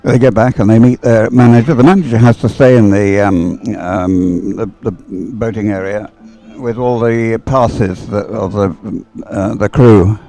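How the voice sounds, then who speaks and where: A man's voice: on the low side, fairly even intonation, a little slow, few pauses, dark tone. An older man giving live commentary on a boat regatta, chatty and hesitant, often drifting into rambling asides. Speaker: sports commentator, former umpire.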